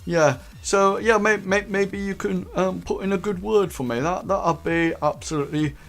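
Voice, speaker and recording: A man's voice: high voice, moderate pace, faint music behind. A man voicing a nervous, eager young male recruit who stammers constantly. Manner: stammering, hesitant.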